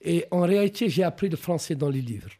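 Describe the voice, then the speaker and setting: A Mauritanian novelist, whose first language is Arabic, answers interview questions in a thoughtful way, often pausing and restarting his sentences. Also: a little high, expressive, warm tone. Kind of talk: reflective radio interview answers.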